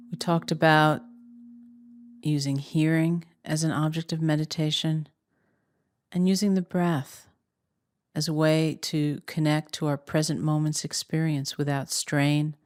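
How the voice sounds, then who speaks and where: A woman meditation teacher giving guided instructions in a calm, unhurried way, leaving long pauses between phrases. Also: low-pitched, no echo.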